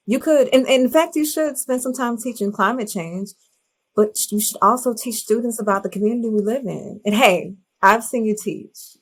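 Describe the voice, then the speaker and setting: A woman's voice: medium pitch, husky, close to an everyday voice. A woman acting as a supportive but firm school supervisor in a scripted podcast drama. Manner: reassuring, firm.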